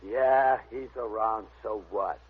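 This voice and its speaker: An old narrow-band radio recording of a dim, sullen cop. The man drawls slowly in a raspy voice. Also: lowish voice. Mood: surly. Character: surly, slow-witted police sergeant. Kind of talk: old-time radio comedy acting.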